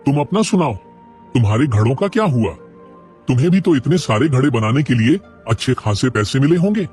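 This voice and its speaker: A proud village priest in a cartoon story, voiced by a man. His mid-pitched voice rises and falls a lot, and his speech is formal and expressive. Faint music plays behind. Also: brisk, close to an everyday voice. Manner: expressive, proper. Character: proud middle-aged male village priest.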